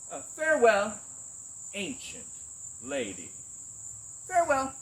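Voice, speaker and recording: A man voices a quick-witted, mocking young nobleman from the Shakespearean stage. His pitch runs high and leaps all over, while the lines come slowly and deliberately. Faint crickets chirp behind him. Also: a bit bright, exaggerated voice. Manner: theatrical, declamatory, mocking.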